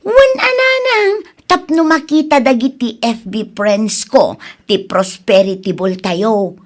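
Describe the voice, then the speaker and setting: A high-voiced, showy Ilocano Filipino woman from a radio comedy drama. She is excitable and exclamatory, delivering her lines with theatrical flair. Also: takes it slowly. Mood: excited.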